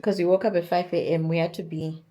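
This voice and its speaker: Zimbabwean woman with a tired, strained low voice. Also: level tone, fast, dark tone. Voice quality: naturally deep alto voice. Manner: chatty, rambling, lots of fillers and restarts. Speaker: vlogger. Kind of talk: casual chat to camera. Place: at home, indoors. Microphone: camera or phone on a stand.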